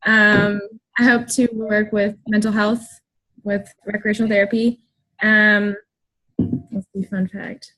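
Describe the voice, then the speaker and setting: A woman's voice: mid-range voice, little pitch movement, unhurried, fairly bright tone, rough recording. A young woman from Florida, a graduate student introducing herself in class, bubbly and a little hesitant, giggling. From Florida, USA.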